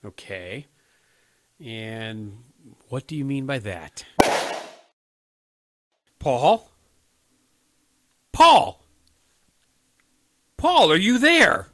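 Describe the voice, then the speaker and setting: A man's voice: mid-range voice, sing-song, drawn-out words, pauses often, pushed and bright, full character voice. An actor in a radio play, playing an earnest, principled younger man who works on a crisis hotline and speaks with moral sincerity. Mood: wary, then alarmed.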